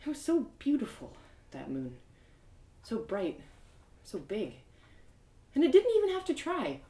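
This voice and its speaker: Expressive woman storyteller in an echoey room. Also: fairly high pitch, unhurried. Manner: expressive, theatrical delivery. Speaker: host and narrator of a live audio story reading. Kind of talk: animated first-person storybook narration.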